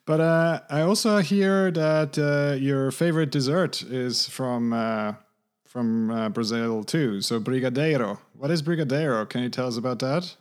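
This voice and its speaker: A middle-aged man hosting a podcast, asking questions in a friendly, halting way full of fillers. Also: medium pitch, smooth. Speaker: podcast host. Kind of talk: interview questions.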